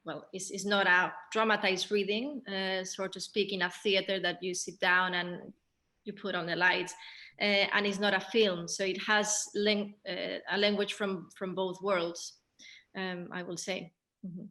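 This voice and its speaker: A woman with a Spanish accent and a bright, forward voice talks about stage work in a panel discussion. Her pitch stays fairly flat, and she pauses often to think aloud as she explains her view as a director. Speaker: theatre director. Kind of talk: post-show panel discussion.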